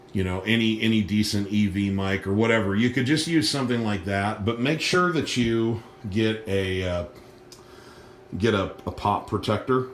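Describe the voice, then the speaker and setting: A man's voice: on the low side, reverberant, slight background noise. A man giving a casual, rambling tour of his home studio gear, talking with restarts and fillers, recorded on a handheld phone. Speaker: piano teacher and live streamer. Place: small home studio with piano and computer. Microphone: phone camera held while walking around.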